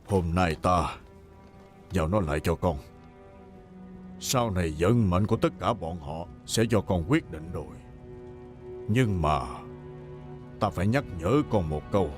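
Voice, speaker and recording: A man's voice: deep voice, animated, a little slow, long pauses. A man dubbing a proud, stern veteran warlord in a historical war drama, grave and admonishing. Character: stern, proud veteran male warlord mentoring his heir. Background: music.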